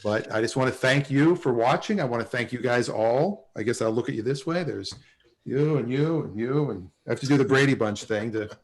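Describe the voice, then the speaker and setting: A man hosting a relaxed group chat over a video call, speaking conversationally. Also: medium pitch, fairly quick. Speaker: host of an online show.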